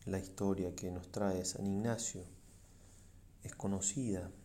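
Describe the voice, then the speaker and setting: Guy, priest doing a guided meditation, dark mellow voice, pretty flat and solemn, slight noise behind. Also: low-pitched. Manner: solemn, measured, pausing between short phrases. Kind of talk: slow reflective guided meditation.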